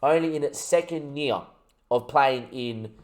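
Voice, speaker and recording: A young man talks through his football management game on a live stream, his voice sitting at a medium pitch. He chats with his viewers and builds himself up with rallying, excitable talk. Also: a little slow. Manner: excitable, rallying, chatty with chat. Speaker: gaming streamer.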